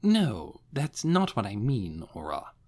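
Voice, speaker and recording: A man's voice: fairly low pitch, huge pitch swings, slow, darkish tone, full character voice. A man voicing a suave, deferential demon strategist who lectures patiently and precisely like a professor in an audiobook.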